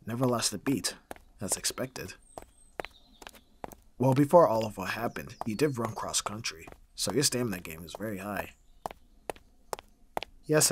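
A man playing a gruff but caring young roommate in an audio roleplay, casual and dryly grumbling, with a slightly husky voice. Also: on the low side, background noise, lightly acted. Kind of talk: ASMR audio roleplay acting.

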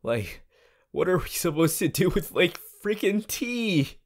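A goofy teenage anime boy , acted by a man, whines and rambles to his sweetheart in an audio roleplay. His drawn-out delivery is slow and hesitant, with pitch that swings widely. He comes across as clingy and playful. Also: very animated, full character voice. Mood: playful, pleading. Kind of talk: improvised audio roleplay addressed to the listener.

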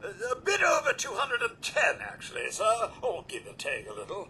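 A prim British robot butler from a video game. The voice is very high and bright, swooping through formal, fretful phrases in an unhurried, theatrical way. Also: male voice, wildly swooping pitch, slight background noise, heavily acted. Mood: nervously cheerful. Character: polite, fussy, anxious English robot butler. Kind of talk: video game character acting.

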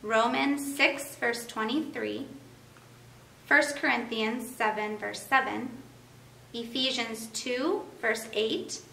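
A woman in her thirties hosting a family devotional, reading clearly and steadily in a living room. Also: on the high side, fairly even intonation, slow pace, pushed and bright, a little hiss or hum. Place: living room by a Christmas tree, indoors. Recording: roomy, distant camera sound.

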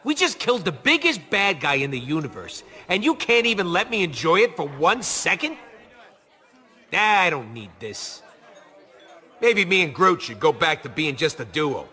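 Brash game raccoon, gruff and sarcastic, high and animated. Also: male voice, moderate pace, a faint crowd. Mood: resentful. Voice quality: gruff, snarky. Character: brash cocky male raccoon mechanic. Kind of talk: video game acting.

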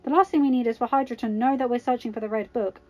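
A woman's voice: sits high, lively intonation, normal speed, no room sound, muffled recording, pitched above the performer's own voice. A woman voicing a stern, bossy female secret agent in an exasperated, commanding manner.

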